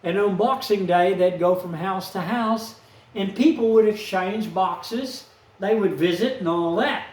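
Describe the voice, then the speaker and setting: A man, telling a rambling personal story in a slow, aggrieved, folksy way. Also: high-pitched, far from the mic. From Texas, USA. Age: 70s. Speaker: vlogger. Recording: echoey room, off-mic.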